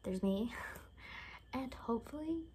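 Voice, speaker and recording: A young woman speaking casually in a hushed, half-whispered ASMR roleplay style, close to the mic in a room. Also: a little high, expressive, slow pace, poor audio. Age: young adult. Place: small furnished room indoors. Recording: noticeable background noise.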